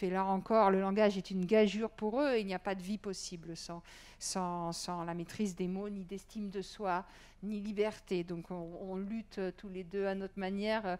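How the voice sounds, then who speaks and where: A woman's voice: mid-range voice, clean tone. A woman who writes and teaches, answering earnestly at a panel discussion, fluent but with frequent fillers. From Iran.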